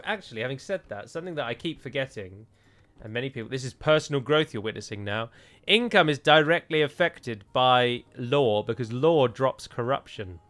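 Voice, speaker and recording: A man's voice: medium pitch, a bit bright. A man giving casual gameplay commentary, chatty and self-mocking, with jokey asides. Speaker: let's play host.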